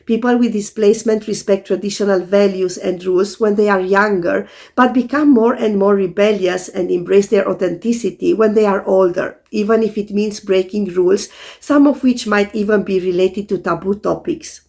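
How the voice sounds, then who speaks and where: A hoarse woman, an astrologer, calmly explaining in scripted video narration while recovering from a sore throat. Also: medium pitch, normal speed. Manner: calm, methodical explaining to viewers.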